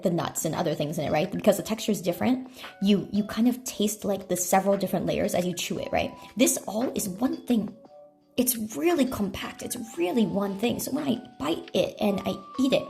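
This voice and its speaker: A woman streamer talks casually and loosely to her chat in a medium-pitched voice with a noticeable creak. She rambles in a conversational, hedging way. She is on a phone microphone in an echoey room, with faint music behind her. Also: dark tone. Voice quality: self-described deeper speaking voice. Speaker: livestreamer. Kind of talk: casual livestream chat while eating snacks. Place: echoey indoor room at night.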